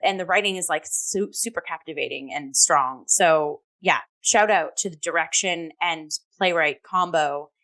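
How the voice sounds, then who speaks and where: A woman's voice: deep voice, unhurried, few pauses, a bit bright. A woman co-hosting a theatre review show, talking casually and enthusiastically and peppering her speech with "like".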